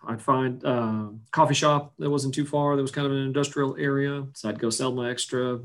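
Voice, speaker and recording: A man's voice: mid-range voice, fairly even intonation, normal speed. A man in his forties from Iceland with no accent left, a business owner chatting loosely as an interview guest, full of fillers and restarts. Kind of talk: casual interview chat.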